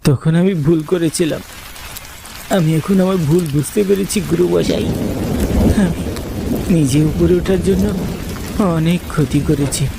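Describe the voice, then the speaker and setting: A man's voice: on the high side, vocal fry. A man playing a terrified, guilty disciple in a horror cartoon, stammering and pleading for mercy. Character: terrified, guilty male disciple begging for his life. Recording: rain and thunder noise.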